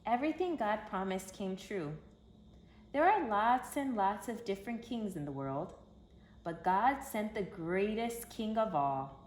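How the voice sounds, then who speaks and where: A woman reading a children's story aloud in an expressive, emphatic storytelling manner. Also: mid-range voice, unhurried. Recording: roomy echo with background hiss.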